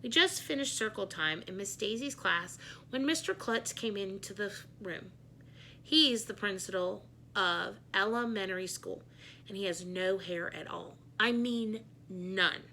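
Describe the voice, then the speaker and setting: A woman's voice: medium pitch, unhurried, pushed and bright, a little hiss or hum. A woman reading a children's book as a wisecracking schoolboy who hates school. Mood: mocking. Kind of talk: children's book read-aloud.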